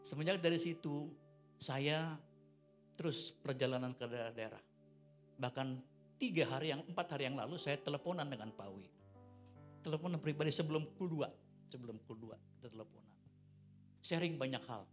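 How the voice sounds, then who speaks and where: A pastor in his sixties preaching a church sermon, chatting through personal stories and then swelling into loud, emphatic preaching. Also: medium pitch, moderate pace, long pauses, faint music behind. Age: 60s. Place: church service.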